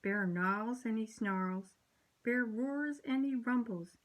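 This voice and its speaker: A woman reading a children's picture book aloud, slow and sing-song, with playful voices for the animals. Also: fairly high pitch, a little hiss or hum. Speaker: storybook reader.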